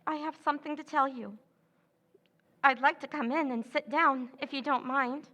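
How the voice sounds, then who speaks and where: A woman's voice: high voice, steady tone, normal speed, sharp tone, a little hiss or hum. An actress playing a scheming woman who feigns nervous distress in an old-time radio drama, stammering and hesitating. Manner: stammering, hesitant, breathy pleading. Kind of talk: 1940s radio acting.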